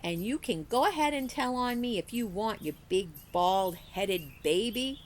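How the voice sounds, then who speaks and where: A woman's voice: medium pitch, animated, unhurried, slight background noise, lightly acted. A woman reading the part of a defiant, hot-tempered young girl in a storybook, with blunt, emphatic retorts. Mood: angry, defiant.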